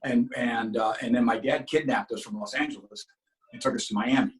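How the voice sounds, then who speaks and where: A man's voice: medium pitch, steady tone, fairly quick, poor audio. A middle-aged man, born in Cuba with Spanish as his first language, telling stories as an actor guest in a casual interview, earnest and full of restarts. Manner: earnest, talks in long runs with many restarts.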